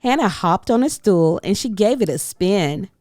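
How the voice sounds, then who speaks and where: A woman narrating a children's story aloud in an expressive, scripted read-aloud style. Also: medium pitch, moderate pace, no room sound. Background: faint music.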